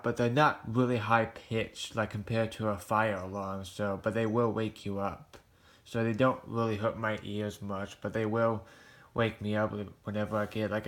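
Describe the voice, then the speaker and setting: A man casually reviewing gadgets for a hobby video in a hesitant, rambling, plain-spoken way. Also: lowish voice. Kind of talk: casual product review to camera.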